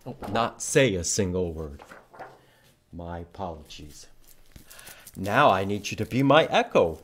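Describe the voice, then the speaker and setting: A man hosting a children's storytime, reading aloud and talking in simple, deliberate phrases for young listeners. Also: deep voice, lively intonation, fairly bright tone. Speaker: library storytime host leading songs and reading aloud.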